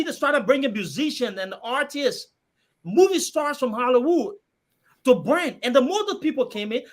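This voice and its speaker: A man's voice: very high voice, a bit bright. A man, a guest laying out his views in a political discussion, explaining step by step with fillers like "right". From Liberia.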